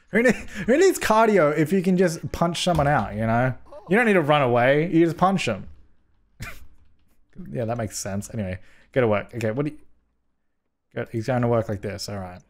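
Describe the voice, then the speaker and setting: A young man with a slightly hoarse voice from a cold, giving jokey, chatty commentary while playing a life-simulation game and scolding his characters, recorded on a headset mic at home. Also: mid-range voice, lively intonation, moderate pace, pauses often. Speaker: gaming YouTuber. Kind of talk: casual let's-play commentary.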